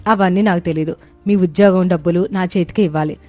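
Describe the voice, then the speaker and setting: A woman's voice: lowish voice, fairly even intonation, brisk, warm tone, faint music behind, natural voice. A woman voicing a discontented young wife in a cartoon moral story, complaining and insistent. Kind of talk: dramatised moral story for animation.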